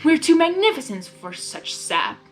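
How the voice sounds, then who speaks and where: A woman's voice: sits high, big pitch swings, moderate pace, faint music behind, pitched above the performer's own voice. A woman voicing a small male shoulder devil, mischievous and vain, with a goading, emphatic manner in a fan fiction reading. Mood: smug.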